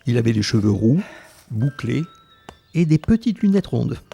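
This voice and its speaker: A man's voice: mid-range voice, big pitch swings, moderate pace, creaky, mellow, faint music behind. A man playing a plain-spoken survivor who narrates his wartime youth reflectively in an audio drama. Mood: reflective, wistful. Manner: reflective storytelling. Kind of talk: scripted first-person narration in audio drama.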